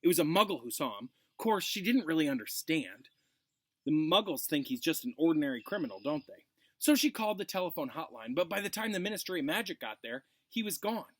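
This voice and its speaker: A man reads the part of an excited schoolboy in a children's book. He is eager and confiding, full of gossip, with a fairly high, animated voice. The sound is dry. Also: a little fast, no room sound.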